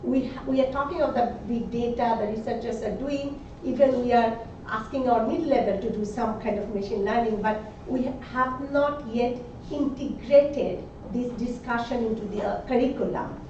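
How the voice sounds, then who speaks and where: Loud, higher-voiced woman asking from the floor. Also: a little hiss or hum. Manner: loud, deliberate, polite request. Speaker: audience member asking a question. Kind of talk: conference question from the floor. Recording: echoey, dull hall sound from far off.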